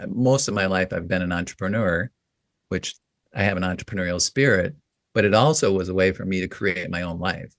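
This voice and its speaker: A man in his fifties with a low, gravelly voice speaks reflectively as an interview guest. He talks in halting runs, restarting phrases and leaning on fillers. The sound is close and dry, with no room around it. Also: no echo. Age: 50s. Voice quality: deep, gravelly voice. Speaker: guest, writing coach.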